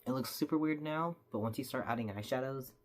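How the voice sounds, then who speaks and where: A woman's voice: rumbling low, fast talker, slight background noise. A young woman, slightly stuffy from a cold, chatting casually as she explains each step of a makeup tutorial.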